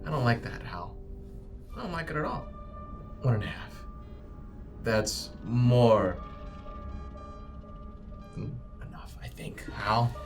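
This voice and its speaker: A man acts out an oily company agent in a tabletop sci-fi game. The voice is mid-pitched and very lively, needling and coaxing with quiet menace, over a music bed. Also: slow, pauses often. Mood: needling, menacing. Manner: oily, cajoling, drops to whispered threats. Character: slick, menacing male corporate agent, bribing and threatening crew. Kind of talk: improvised tabletop roleplay acting.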